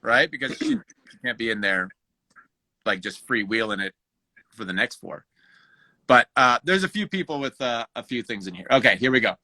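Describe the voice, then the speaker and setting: A man hosting a live raffle in casual livestream chat, haltingly thinking aloud as he handles each task. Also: mid-range voice, animated, bright, forceful tone, dry recording, poor audio. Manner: halting, thinking aloud while doing tasks.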